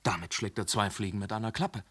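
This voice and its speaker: An actor playing a shrewd police inspector in a radio play who reasons calmly, then grills a suspect harshly. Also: male voice, lowish voice, fast talker, husky. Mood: reasoning. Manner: probing, turns harsh and scolding. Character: shrewd, hard-pressing male police inspector. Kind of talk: radio drama acting.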